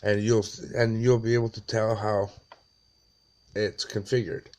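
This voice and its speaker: Slow-talking, low-voiced man giving a tutorial. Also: flat delivery, darkish tone. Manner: halting, plain-spoken, pauses often. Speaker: amateur tutorial presenter. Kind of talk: casual how-to walkthrough. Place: small dim room indoors.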